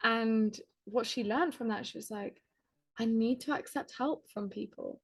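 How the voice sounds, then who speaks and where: A woman guest on a podcast, talking in a chatty, casual way, with lots of "like" and quick laughs. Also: a little high, gravelly, slightly rough. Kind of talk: casual conversational interview.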